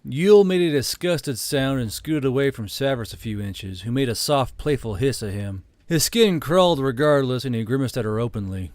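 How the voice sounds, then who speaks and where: A man's voice: mid-range voice, lively intonation, normal speed, hardly any pauses, darkish tone, dry recording. A man narrating a scripted fantasy story as an audiobook. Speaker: narrator and channel host.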